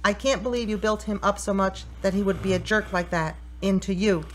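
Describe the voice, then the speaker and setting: A woman's voice: mid-range voice, steady tone, a little fast, natural voice. Lady doing a sassy fed-up girlfriend type in an audio drama, mid voice, bit of noise behind. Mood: exasperated. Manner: blunt, teasing. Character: sassy, exasperated woman friend, teasing and loyal.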